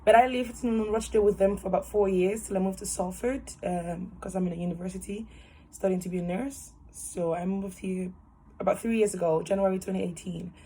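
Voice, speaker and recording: A woman's voice: medium pitch, fairly quick, a little hiss or hum, low-quality recording. Cheerful young woman on a video call. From Cameroon. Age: 20s. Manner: cheerful, laughs often, animated hands. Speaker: interviewee, student nurse. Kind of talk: casual personal story. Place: small room at home, indoors. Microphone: webcam on a video call.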